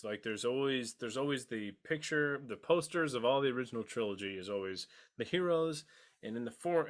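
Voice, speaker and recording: A man debating casually as a podcast panelist, haltingly restarting his thoughts as he argues. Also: medium pitch. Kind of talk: casual debate about a TV series.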